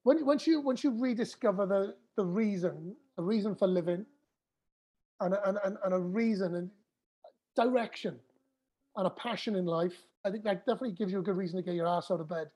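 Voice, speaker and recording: Husky-voiced woman musing in a casual chat. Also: medium pitch, brisk. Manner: warm, rambling, talks to her baby between thoughts. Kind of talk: casual conversational interview.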